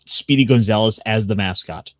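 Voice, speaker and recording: Man, stream chat, halting, restarts a lot, dry recording. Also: lowish voice, a bit bright, dry recording.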